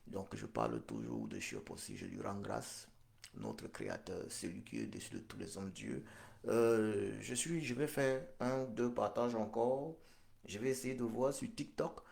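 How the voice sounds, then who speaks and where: A middle-aged man speaking in a very gravelly, low voice. He is still convalescing and talks slowly and solemnly, repeating himself and pausing on fillers as he hosts his live stream. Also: sing-song, a little mellow. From Cameroon. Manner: solemn, slow and repetitive, with many fillers. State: convalescent after hospital stay. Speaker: political livestream host. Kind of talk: live-stream political address.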